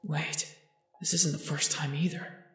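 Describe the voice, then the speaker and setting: A man's voice: a little high, little pitch movement, measured pace, a bit bright, roomy sound, soft background music. A man playing a curt, guarded young man in a fan dub, blunt and dry. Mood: guarded, brooding.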